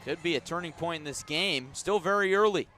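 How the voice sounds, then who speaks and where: A man with an American accent and a fairly high voice calls baseball live for radio. He describes the game in a measured way and punches up the action calls. He is outdoors at the ballpark, with some music around him. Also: pushed and bright. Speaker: baseball play-by-play announcer. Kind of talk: live radio sports commentary.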